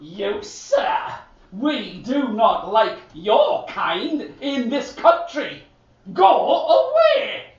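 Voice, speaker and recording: A man voicing an excitable male soldier in a fairy tale, in a high, shouting voice. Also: drawn-out words, very echoey, distant mic, highs cut off, heavily acted. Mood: hostile.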